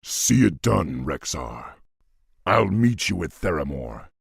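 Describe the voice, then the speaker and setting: A man voicing a noble, resolute orc warchief in a fantasy game, with a deep husky voice and weighty, stately delivery. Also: big pitch swings, slow, dry recording, clean studio sound, heavily acted. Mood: solemn, resolute. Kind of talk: fantasy video game acting.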